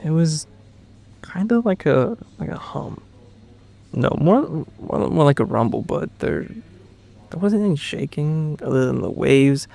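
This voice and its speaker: Shaken, stammering survivor with creaky voice. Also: male voice, on the high side, lively intonation, warm tone, heavily acted. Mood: confused, searching. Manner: hesitant, stammering, halting. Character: confused, shaken male sole survivor being questioned. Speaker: actor in audio drama. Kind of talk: naturalistic audio drama acting.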